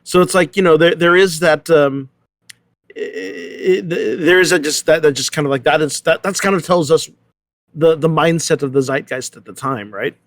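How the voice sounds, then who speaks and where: A man on a discussion panel, talking casually and thinking aloud in a halting, hedging way. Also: a little high, no room sound, studio quality. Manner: halting, hesitant delivery full of hedging phrases.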